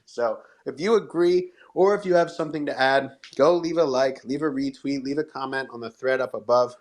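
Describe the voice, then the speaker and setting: A man speaks as the host of a live audio show. His delivery is upbeat and promotional, with plenty of encouragement and praise for the community listening in. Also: mid-range voice.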